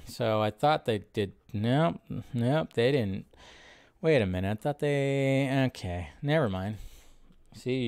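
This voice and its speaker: A man's voice: mid-range voice, a little mellow. A man hosting a live film news show with casual, unscripted commentary, rambling and thinking aloud with some mild swearing.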